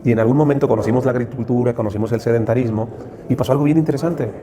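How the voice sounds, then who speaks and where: A Mexican man talks fast in a middle-pitched voice, explaining like a motivator in conversation. He builds emphasis with repeated rhetorical questions and lists. A crowd is clearly audible behind him. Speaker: interview guest.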